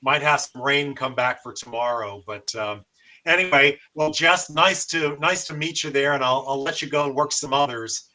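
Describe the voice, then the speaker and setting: A man's voice: mid-range voice, moderate pace, bright, forceful tone. An American man hosting a casual amateur radio demonstration and chatting as the operator.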